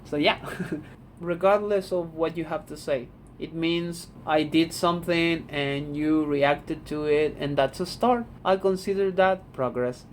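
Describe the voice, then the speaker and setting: A man's voice: a little high, measured pace, slight background noise. Blunt young Argentine man. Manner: blunt, repeats "man", swears freely. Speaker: vlogger. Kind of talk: casual talking-head monologue. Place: home room.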